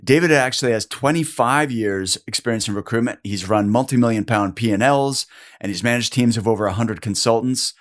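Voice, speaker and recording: A man hosting a podcast interview, upbeat and keen, his voice a little husky from a cold. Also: mid-range voice, a little fast. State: has a cold, a bit husky. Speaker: podcast host.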